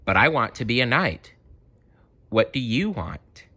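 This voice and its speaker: A man's voice: medium pitch, sing-song, unhurried, bright, forceful tone, exaggerated voice. A man voicing a brave, kind young girl knight in a storybook, speaking earnestly and frankly. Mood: encouraging. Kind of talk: storybook character voice.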